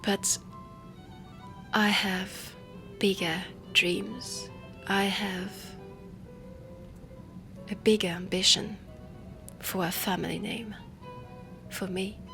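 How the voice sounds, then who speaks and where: A woman playing a refined, ambitious heiress inventor in a steampunk audio roleplay, speaking softly and deliberately with a French accent, often close to a whisper. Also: medium pitch, little pitch movement, exaggerated voice. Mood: earnest, proud. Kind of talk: soft intimate audio roleplay. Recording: music under the voice. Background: background music.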